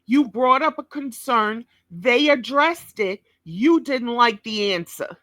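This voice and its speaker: A woman's voice: on the high side, animated, takes it slowly, fairly bright tone, no echo, studio quality. A woman giving blunt, scolding commentary on a clip, swearing heavily. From New York. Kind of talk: profane reaction commentary.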